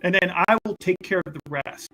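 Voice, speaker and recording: A man playing a scheming, protective rogue in an improvised tabletop game, grandiose and halting as he talks. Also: high voice, bright, forceful tone, faint music behind, rough recording. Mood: reassuring. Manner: halting, grandiose, evasive, many repeats. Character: smooth-talking scheming rogue, self-important, protective man. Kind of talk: improvised in-character tabletop roleplay.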